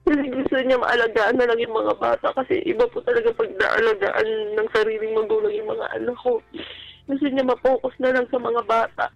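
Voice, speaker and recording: A Filipino woman speaks as a caller on a radio advice show, her voice full of fry. She tells a personal story in a polite, deferential, conversational way. The sound is dull and lo-fi, with music playing behind her. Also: on the high side, brisk. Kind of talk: emotional personal account, conversational. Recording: dull lo-fi call audio with music.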